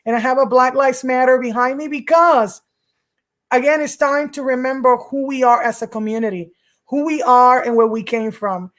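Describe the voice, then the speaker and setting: Woman, vlog host, earnest, emphatic repetition, slightly high voice. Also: normal speed, fairly bright tone. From Puerto Rico. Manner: earnest, emphatic, repeats words. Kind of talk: casual talk to camera.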